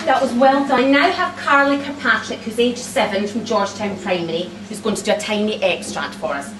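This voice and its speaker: A woman's voice: fairly high pitch, brisk. A woman hosting an event, speaking informally to an audience. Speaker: event host and author. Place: busy cafe book launch, indoors. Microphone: distant camera microphone. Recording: roomy, distant, noisy crowd and loud music.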